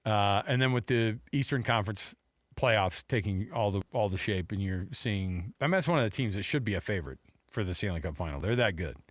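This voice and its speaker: An American male radio host with very animated, swinging pitch and a dry, close studio sound. He talks sports in a chatty, off-the-cuff way, hopping from one thought to the next. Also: a little fast, no echo. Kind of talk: casual sports talk radio banter.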